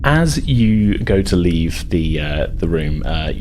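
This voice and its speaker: Low-voiced man improvising as game master. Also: sing-song, a little mellow, a little hiss or hum. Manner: hesitant, halting, repeats words as he improvises. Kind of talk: improvised tabletop game narration.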